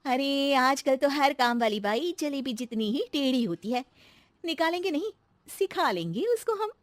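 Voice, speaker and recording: A woman's voice: high-pitched, expressive, measured pace, dry recording. A woman voicing a level-headed housewife who teases her maid with mock-serious logic, in a cartoon story. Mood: calm. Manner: sly, mock-serious teasing.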